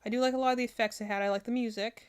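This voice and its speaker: Female reaction streamer, slightly high-pitched, casual and opinionated, rambles as she thinks out loud. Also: steady tone, racing, clean tone. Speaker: streamer reacting to game character trailers.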